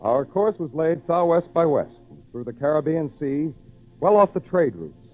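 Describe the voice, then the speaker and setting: A man's voice: on the high side, animated, moderate pace, soft background music, natural voice. Man acting an eager young adventurer, tinny old radio. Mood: dramatic. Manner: earnest, theatrical. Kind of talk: old-time radio drama acting and narration.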